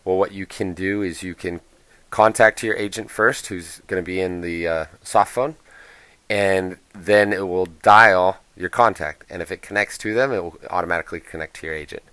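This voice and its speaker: Low-voiced man giving a casual walkthrough. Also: moderate pace, a bit bright, clean tone, no echo. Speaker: software presenter.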